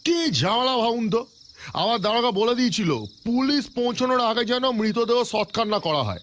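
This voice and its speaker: A disgruntled villager in an audio horror drama. His voice sits extremely high, full of sarcastic complaint, over a faint bed of night insects. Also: animated, normal speed, a bit bright, a little hiss or hum. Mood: bitter, exasperated. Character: aggrieved villager speaking up from a crowd.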